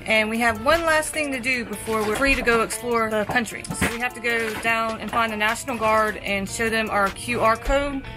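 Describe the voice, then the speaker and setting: A woman in her thirties gives a relaxed, matter-of-fact update to a handheld camera on her sailboat. Her voice sits slightly high. Clear background music runs beneath her. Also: normal speed, few pauses, rough recording. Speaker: sailing vlog co-host. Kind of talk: casual vlog narration. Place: sailboat and dinghy outdoors.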